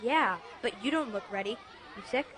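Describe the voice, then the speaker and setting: A woman's voice: on the high side, expressive, normal speed, a crowd in the background, natural voice. A woman playing a concerned young boy in a dramatized audiobook. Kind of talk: dramatized audiobook radio play.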